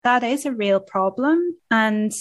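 A woman from Ireland, hosting a podcast in casual chat, animated and quick to laugh. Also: a little high. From Northern Ireland. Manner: animated, laughs often, lively asides. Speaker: podcast host.

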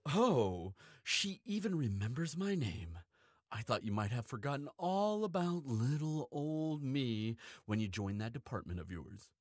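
A man's voice: medium pitch, very animated, unhurried, no room sound, clean studio sound. A man playing a cheeky, shrewd weaponsmith in an audio roleplay, with a playful, theatrical delivery. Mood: mock-wounded, playful. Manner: playful, mock-wounded, dramatic. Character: cheeky, theatrical male weaponsmith and shrewd old family friend. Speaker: guest voice actor in audio roleplay. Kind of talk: scripted audio drama acting.

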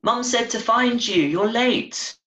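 A man voicing a small, cheeky, excitable little sister in a read-aloud short story. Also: very high voice, slow pace, far from the mic, pitched above the performer's own voice, heavily acted. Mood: blunt, curious. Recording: roomy, echoey video-call sound.

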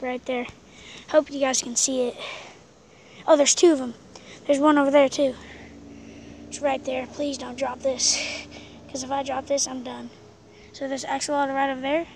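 A woman with a high, gravelly voice films an outdoor vlog on a handheld phone beside a pool. She talks loosely, full of fillers, and drops to a hush while she searches the water. Faint outdoor noise sits behind her. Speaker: vlogger. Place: outdoors by an abandoned pool on rural land. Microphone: handheld camera phone filming the water.

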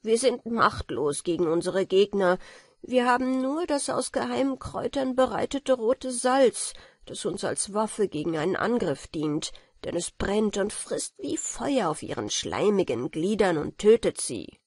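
A woman's voice: medium pitch, big pitch swings, unhurried, breathy edge, dry recording, studio quality, full character voice. A woman voicing a small, solemn dwarf king from a fairy tale, pleading in earnest, formal speech. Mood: grave, pleading.

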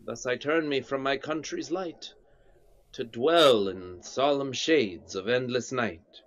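A man playing an aging duke grieving his exile, speaking the verse in a sorrowful, measured way over a webcam. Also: medium pitch, muffled recording, lightly acted. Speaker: actor. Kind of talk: staged Shakespeare reading. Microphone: webcam on a video call.

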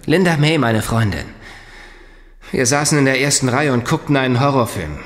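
An actor playing a shaken, indignant eyewitness in a horror audio drama, agitated and halting. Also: male voice, medium pitch, expressive, in a large hall, close to an everyday voice. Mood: shaken, distressed.

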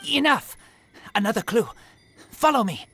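A man playing a terse, earnest teenage boy raised by wolves in a fantasy video game, speaking in halting broken sentences. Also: very high-pitched, animated, creaky, sharp tone, a little hiss or hum, heavily acted. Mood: urgent.

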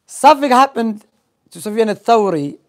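A man's voice: extremely high pitch, expressive, moderate pace. A young man giving an informal Islamic lesson, telling stories and asking quiz questions in non-native English sprinkled with Arabic terms. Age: young adult. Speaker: religious teacher. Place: small indoor library room. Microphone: gooseneck microphone close to the mouth.

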